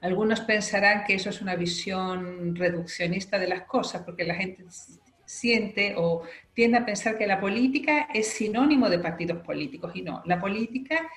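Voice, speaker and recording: A woman's voice: medium pitch, moderate pace, reverberant. A middle-aged woman from Spain, a political scientist explaining her views fluently as an interview guest. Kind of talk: radio interview.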